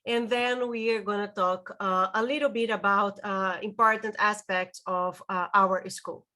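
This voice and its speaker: A woman's voice: medium pitch, steady tone, a little slow, bright, forceful tone. A woman from Brazil with a Brazilian Portuguese accent, a legal writing instructor giving a webinar presentation, often hesitating and repeating words.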